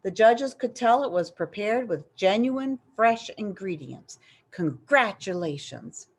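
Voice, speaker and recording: A woman's voice: mid-range voice, lively intonation, husky, heavily acted. A woman voicing a solemn male mouse who hosts a storybook contest and announces in a grand, declamatory way. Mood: solemn, formal. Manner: mumbling at first, then slow and declamatory.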